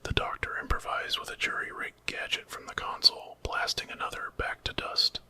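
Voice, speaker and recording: A man narrating a horror story in a breathy, unvoiced ASMR whisper. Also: brisk, sharp tone.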